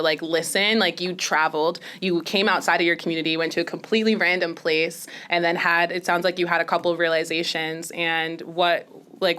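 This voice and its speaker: A woman's voice: fairly low pitch, sharp tone, smooth. A young woman hosting a podcast, asking enthusiastic, affirming questions full of fillers. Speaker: podcast host. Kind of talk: casual interview chat.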